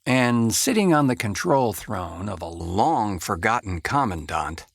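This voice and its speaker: An actor playing an edgy sci-fi detective in an audio drama, with a halting, dramatic delivery. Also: male voice, lowish voice, sing-song, no room sound, clean studio sound, exaggerated voice. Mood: ominous. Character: edgy, hesitant male sci-fi police detective. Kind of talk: cinematic audio drama acting.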